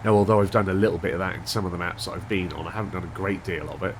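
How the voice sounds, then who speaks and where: A man hosting a gaming channel, chatting casually over gameplay with a stuffed-up, unwell voice, thinking aloud with a monotone delivery. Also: deep voice, rapid-fire, a little hiss or hum. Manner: rambling, thinking aloud with restarts.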